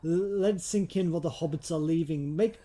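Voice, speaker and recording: A man chats casually as a podcast co-host. His voice sits a little high for a man and has a soft, mellow tone. He speaks hesitantly, repeating himself as he works toward his point. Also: fairly quick.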